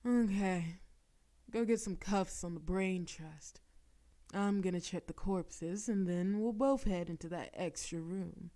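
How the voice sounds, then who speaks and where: A bold, teasing female crime boss, voiced by a woman in an audio roleplay. Her delivery is unhurried and mellow. She flirts and jabs playfully. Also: on the low side. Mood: focused. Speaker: voice actress.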